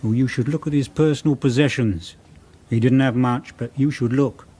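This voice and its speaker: An actor playing a chatty, knowing police colleague in a radio drama, clipped and teasing. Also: male voice, mid-range voice, fairly even intonation, normal speed, mellow, husky, close to an everyday voice. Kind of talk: British radio drama acting.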